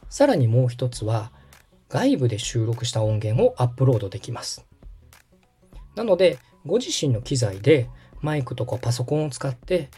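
A man's voice: medium pitch, sing-song, moderate pace, mellow, breathy edge, soft background music. A man hosting a step-by-step tutorial, explaining things politely and guiding the listener along. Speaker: podcast host and tutorial instructor, radio director.

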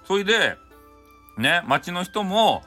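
Lively Hakata-accented man telling folk tales. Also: sits high, slow pace, soft background music. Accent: Hakata (Fukuoka) Japanese. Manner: chatty, folksy, frequent asides. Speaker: storyteller, host of audio show. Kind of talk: casual improvised folk tale retelling for bedtime.

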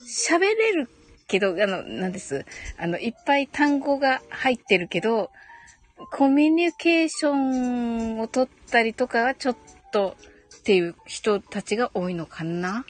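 High-pitched woman chatting politely on a livestream. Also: sing-song, unhurried, soft background music. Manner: polite, chatty, frequent agreeing hums and giggles. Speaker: host of a live audio stream. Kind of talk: casual live chat, reading and answering listener comments.

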